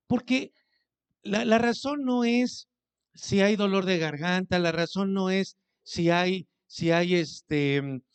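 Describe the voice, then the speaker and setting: A man's voice: high voice, smooth. A man preaching a sermon as pastor, speaking conversationally, asking his congregation questions and acting out what people say to him.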